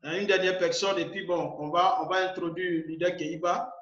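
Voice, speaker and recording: A man's voice: high-pitched, steady tone, moderate pace, a bit bright. A man with an Ivorian French accent hosting an online meeting, urging the members insistently and often repeating words. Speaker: meeting host. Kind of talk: exhorting a group in an online meeting. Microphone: video call. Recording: muffled echoey call audio.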